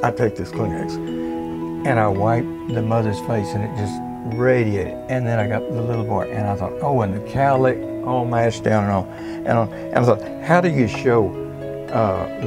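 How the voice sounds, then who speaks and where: An older man in his seventies from the Texas Panhandle talks as an interviewee. His voice is fairly low, and he tells stories in a folksy, expressive manner. Music plays clearly behind him. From Texas Panhandle, United States. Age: 70s. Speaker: interviewee, art collector and retired physician. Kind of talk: conversational storytelling. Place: indoors in a furnished gallery room.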